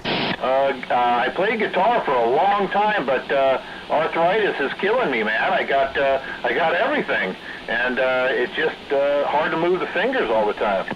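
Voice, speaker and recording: A middle-aged man in casual chat over CB radio, speaking in halting, hesitant phrases. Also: on the high side, very animated. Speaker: CB radio operator. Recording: noisy CB radio transmission.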